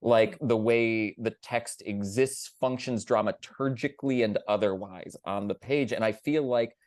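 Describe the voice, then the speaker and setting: A man's voice: on the low side, a little slow, a little mellow, no room sound. A man speaking as a theatre panelist, earnest and emphatic in casual discussion.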